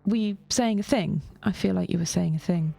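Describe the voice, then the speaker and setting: A woman voicing a wry office colleague in an audio drama, a caring woman with a playful, ironic tease. The voice is lifted and noticeably creaky, over faint music. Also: on the low side, brisk, mellow.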